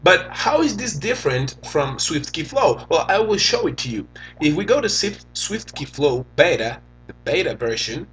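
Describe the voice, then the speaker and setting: A young man from Venezuela with a Venezuelan Spanish accent, a native Spanish speaker, talking casually and haltingly as he demos and reviews a phone keyboard. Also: a little high, very animated, a bit bright, poor audio. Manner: slow, halting, thinks aloud while typing. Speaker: tech reviewer.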